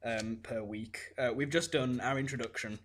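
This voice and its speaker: A man's voice: mid-range voice, steady tone, normal speed. A teenage boy co-hosting a podcast in casual chat, dry and orderly as he works through the running order. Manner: orderly, runs the schedule, dry. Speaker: podcast co-host.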